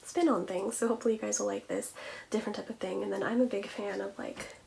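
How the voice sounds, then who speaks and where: A woman with a middle-pitched voice talks casually to her viewers as a video creator. She explains things in an informal, conversational way, in the style of a behind-the-scenes vlog. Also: fairly even intonation, fast, creaky, a little mellow, a little hiss or hum, poor audio. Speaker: ASMR video creator and vlogger.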